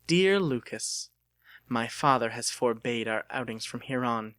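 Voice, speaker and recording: A man's voice: mid-range voice, lively intonation, unhurried, a bit bright, no room sound. Earnest young woman's letter, voiced by a man. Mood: earnest, regretful. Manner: formal, earnest.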